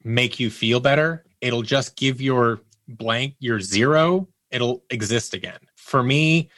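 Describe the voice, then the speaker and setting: A man's voice: medium pitch, slow pace, a bit bright, dry recording. A man speaking as an interview guest, candidly telling personal stories in a conversational way and acting out quotes as he goes.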